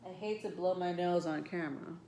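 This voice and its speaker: Stuffed-up woman vlogger with a low voice, loose and rambling delivery, filler-heavy, slight room hiss. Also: fairly quick, dark tone. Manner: rambling, frequent ums and self-corrections. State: stuffed up nose. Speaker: tarot and astrology vlogger. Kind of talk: casual talk to camera.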